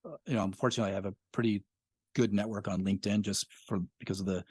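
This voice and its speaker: A man's voice: fairly low pitch, fairly even intonation, very fast, a little mellow, dry recording, studio quality. A middle-aged man, a podcast creator, talking casually as a guest, reflective and full of fillers. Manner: reflective, rambling with many fillers. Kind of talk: casual interview conversation.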